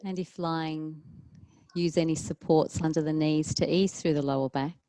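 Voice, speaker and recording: A woman with a low, soft-edged mellow voice guides a relaxation class as a yoga teacher. Her delivery is calm and soothing, with long pauses between gentle instructions. The sound is very dry and close, with no room around it. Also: no echo.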